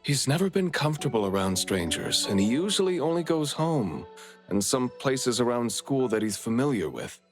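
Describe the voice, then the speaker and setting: A man's voice: medium pitch, very animated, normal speed, close to an everyday voice. Man acting as anxious, courteous worried father. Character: worried, polite father of a missing boy. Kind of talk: video game cutscene voice acting. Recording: background music under the voice.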